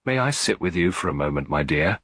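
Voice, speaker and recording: A man voicing a gentle, shrewd elderly English lady detective in an audiobook, soft and politely probing. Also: low voice, animated, a little fast, breathy edge, no room sound, full character voice. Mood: kindly.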